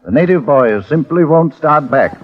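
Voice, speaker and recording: An actor playing a cautious, officious colonial police constable in an old-time radio drama, formal and hedging. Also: male voice, fairly high pitch, expressive, moderate pace, faint music behind, natural voice. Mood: cautious, advising. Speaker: supporting actor. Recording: muffled vintage radio.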